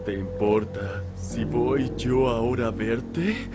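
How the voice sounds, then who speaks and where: A man plays a monstrous middle-aged woman in a horror anime dub, coaxing and insistent. The words are drawn out extremely slowly, with a Latin American accent. Loud music plays over the voice. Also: medium pitch, expressive. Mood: sinister insistence. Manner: slow, drawn-out, insistent calling.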